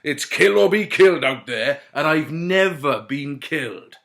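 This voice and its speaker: A man voicing a brutish medieval lord who booms boasts in a beery drawl. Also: lively intonation, measured pace, creaky, fairly bright tone, exaggerated voice. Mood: boastful.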